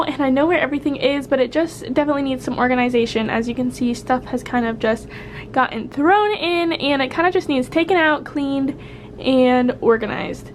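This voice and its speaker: A woman's voice: sits high, a little hiss or hum. A young woman with a head cold and a stuffy voice, chatting casually to camera about organizing her kitchen, upbeat and friendly. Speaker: vlogger. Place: home kitchen.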